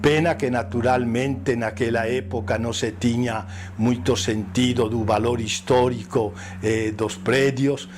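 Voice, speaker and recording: An older man, a priest, speaking Portuguese with a Spanish accent, recalling the past slowly with hesitant pauses and fillers. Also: mid-range voice, steady tone, background noise. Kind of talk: interview recollection.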